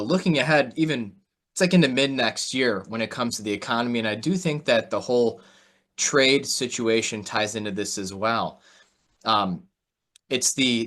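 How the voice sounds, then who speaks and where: A man hosting an interview, giving conversational market commentary in a measured, informal, agreeable way. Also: lowish voice, a bit bright. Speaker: host.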